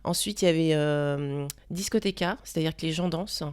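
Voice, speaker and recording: A woman guest telling an anecdote in casual, slangy talk-show style, animated and self-mocking. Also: deep voice, brisk, smooth.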